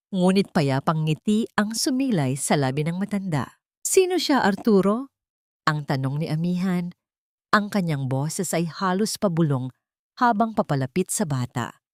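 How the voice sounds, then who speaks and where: A woman's voice: fairly low pitch, expressive, moderate pace, darkish tone, no echo, studio quality. A woman with a Filipino accent reading a scripted dramatic story as an audiobook narrator.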